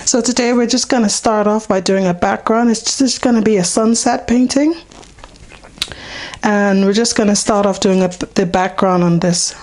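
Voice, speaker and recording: A woman with a hoarse, partly lost voice, casually talking a beginner through a painting step by step, unhurried and with many ums. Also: medium pitch, darkish tone. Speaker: painting teacher.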